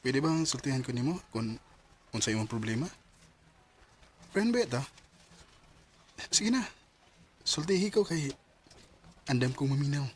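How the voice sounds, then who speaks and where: A man playing a caring young friend in a radio drama, gently coaxing, with a Visayan Filipino accent. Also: medium pitch, expressive, unhurried, pauses often, a little hiss or hum, close to an everyday voice. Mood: concerned, coaxing. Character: caring, concerned young male friend of schoolgirl.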